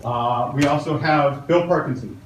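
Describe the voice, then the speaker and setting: A man with a loud voice moderating a community meeting and introducing a panel, with frequent "uh" fillers. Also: medium pitch, moderate pace. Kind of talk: public meeting emcee. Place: large room at a community meeting. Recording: roomy, distant, with faint noise.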